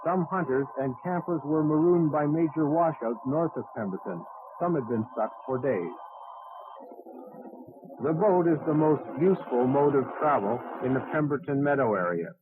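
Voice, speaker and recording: A man's voice: a little high, normal speed. A man delivering a scripted news report as a reporter. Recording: tinny archival news audio, clearly noisy.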